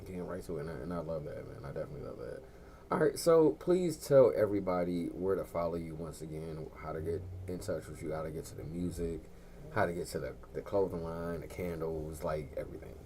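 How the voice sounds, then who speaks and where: A young adult man hosting a radio talk show, chatting in a relaxed, slangy way with drawn-out fillers. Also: deep voice, a little hiss or hum. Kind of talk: casual laid-back show chat.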